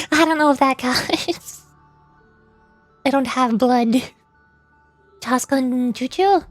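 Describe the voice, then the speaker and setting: High-voiced woman streamer musing over music. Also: slow, no room sound. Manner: musing, often questioning aloud. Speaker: VTuber game streamer. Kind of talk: casual livestream chat and reading game dialogue aloud.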